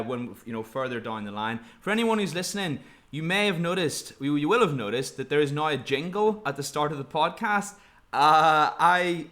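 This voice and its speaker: A man's voice: fairly high pitch, a bit bright. A man hosting a podcast interview, friendly and chatty, talking in loose rambling sentences. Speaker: podcast host.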